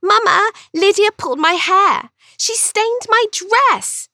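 A woman's voice: very high-pitched, slow pace, bright, forceful tone, no echo, clean studio sound, voice pushed up, exaggerated voice. A woman voicing a whiny, tattling little English girl of the Regency era complaining to her mother in an audiobook. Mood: whining, outraged. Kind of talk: audiobook character voice.

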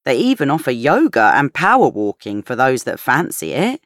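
A woman's voice: rumbling low, very animated, normal speed, no echo, studio quality. A woman voicing a cheerful, chatty, genteel grandmother in her eighties in an audiobook. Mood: chatty, pleased. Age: 80s.

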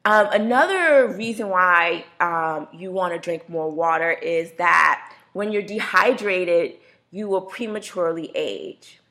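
A woman's voice: fairly low pitch, measured pace, pushed and bright. A woman hosting a health radio talk show, talking casually and chatting with a laugh in her voice.

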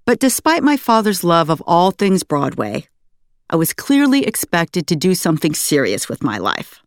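An American woman, an author reading her own memoir aloud in an articulate, expressive storytelling manner. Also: fairly low pitch, moderate pace, no room sound, clean studio sound. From United States, West Coast. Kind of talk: scripted memoir narration.

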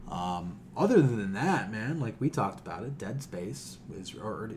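A man's voice: lowish voice, very animated, unhurried, a little mellow, slight background noise. A man co-hosting a podcast in casual chat, opinionated, slangy and given to swearing. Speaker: podcast co-host.

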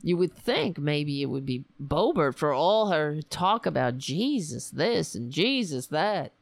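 A woman hosting a casual political talk show, rambling and sarcastic, hoarse because she is losing her voice. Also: sits low, very animated, a little slow, dry recording.

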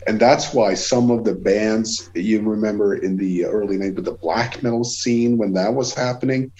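A man's voice: fairly low pitch, normal speed, warm tone. A Swedish man in his forties with a Swedish accent, a guest musician talking casually, rambling and restarting his sentences. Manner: rambling, many restarts and repeated words. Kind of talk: casual conversation. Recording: lo-fi dull sound.

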